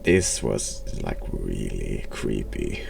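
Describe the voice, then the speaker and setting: Very deep, creaky man, slow and halting. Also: very animated, noisy background. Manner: halting, hesitant, word-repeating. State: has a cold. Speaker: streamer and horror game reviewer. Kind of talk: casual game commentary.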